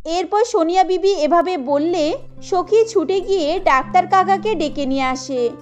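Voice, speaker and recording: A woman narrating a cartoon story in a steady storytelling manner. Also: extremely high pitch, normal speed, dull audio, soft background music.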